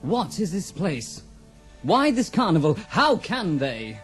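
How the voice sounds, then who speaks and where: An actor playing a brooding, grief-stricken young rider in a fantasy audio drama, with intense, old-fashioned phrasing. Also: male voice, sits high, sing-song, takes it slowly, faint music behind, exaggerated voice. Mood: shocked, bitter. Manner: formal archaic phrasing, intense.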